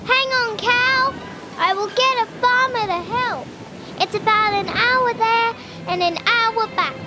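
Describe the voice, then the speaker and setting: Very high-voiced little girl. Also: a little slow, loud music behind the voice. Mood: earnest, naive. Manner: sweet, childlike, earnest. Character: kind, naive small girl befriending a sea cow. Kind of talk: comedy radio play trailer.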